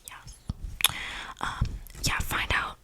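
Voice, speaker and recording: A woman playing an eccentric, flirty female vampire in a whispered ASMR role-play, rambling casually in breathy slang with audible breaths close to the mic. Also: slow, close to an everyday voice. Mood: chummy, conspiratorial. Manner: slangy, gossipy, conspiratorial, rambling. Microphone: close ASMR microphone.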